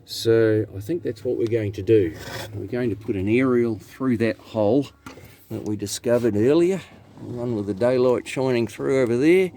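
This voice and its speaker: A man's voice: mid-range voice, normal speed, warm tone. A man with a New Zealand accent giving a casual, matter-of-fact hands-on walkthrough as a technician. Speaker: radio technician narrating own repair video. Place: in and around a truck cab. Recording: clear background noise, vehicle ambience.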